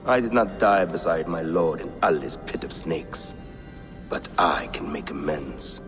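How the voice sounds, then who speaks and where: A man's voice: extremely low pitch, animated, fairly bright tone, heavily acted. An actor playing a proud, grieving Viking warrior, solemn and loyal to a fallen king, in a video game. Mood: solemn reminiscence. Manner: solemn, storytelling, weighty pauses. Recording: long cavern-like reverb, faint music behind.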